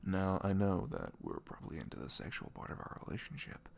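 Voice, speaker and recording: A man playing a sweet, caring boyfriend in an intimate ASMR roleplay, speaking softly and tenderly in a velvety, often breathy voice with earnest, rambling reassurance. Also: deep voice, expressive, fairly quick, slight background noise. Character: sweet, caring, devoted young boyfriend talking in bed.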